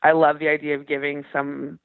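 A woman's voice: sits low, flat delivery, brisk, vocal fry, dry recording. A woman calling in to an advice show, talking casually and thoughtfully, a little hesitant, over a narrow phone line. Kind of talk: casual conversation. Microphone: phone call.

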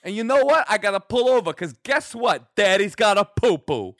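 A man voicing a brash, boastful New York guy in rapid, exaggerated patter, pitched well above his own voice. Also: sing-song, fairly bright tone, no room sound, clean studio sound, heavily acted.

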